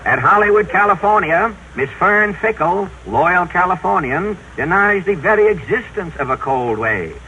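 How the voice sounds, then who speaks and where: A man's voice: high voice, expressive, normal speed, hardly any pauses, bright, forceful tone. A man announcing scripted items in a formal, declamatory 1930s newsreel style. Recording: muffled noisy 1930s radio recording.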